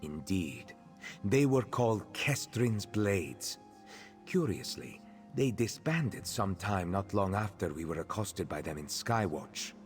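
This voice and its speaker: A gentle, affectionate cat-folk character from a fantasy game. The voice sits fairly low and moves expressively, with formal, measured phrasing. Soft music plays behind it. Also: male voice, expressive. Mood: measured, informative. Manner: measured, formal, speaks of himself in third person. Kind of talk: video game voice acting.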